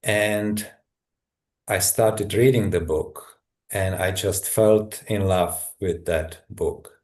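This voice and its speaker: A middle-aged man from Czechoslovakia with a Czech accent, a guest telling his story in a halting, thoughtful way with many restarts. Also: sits low, darkish tone. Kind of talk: personal story in a conversation.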